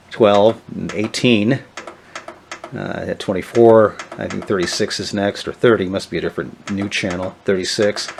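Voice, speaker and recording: Sniffly man with a cold, halting and low. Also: slight background noise. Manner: halting, many "uh" fillers, thinking aloud. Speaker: vintage electronics video host. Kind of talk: casual show-and-tell narration.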